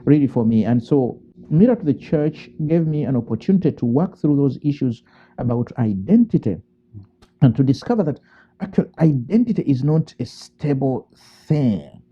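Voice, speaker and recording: A man from Uganda, a theologian and priest giving thoughtful interview answers, pausing and restarting and asking himself rhetorical questions. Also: medium pitch, lively intonation, slightly rough. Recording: muffled lo-fi call audio.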